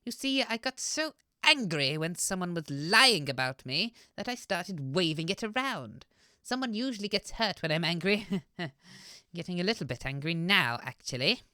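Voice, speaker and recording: A woman's voice: lowish voice, very animated, normal speed, bright, forceful tone, no echo, clean studio sound. A woman playing a menacing granny baker with a criminal past in a comedy audio sketch, sweetly evasive with veiled threats. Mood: sweetly threatening.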